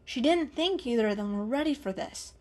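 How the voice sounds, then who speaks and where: A woman's voice: a little high, animated, brisk. A woman giving a scripted, dramatic storybook narration as the storyteller.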